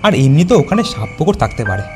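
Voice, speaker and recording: A man playing a worried, cautious young village schoolteacher in an animated horror story. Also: fairly high pitch, quick pace, faint music behind. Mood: worried, warning. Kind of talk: acted cartoon dialogue.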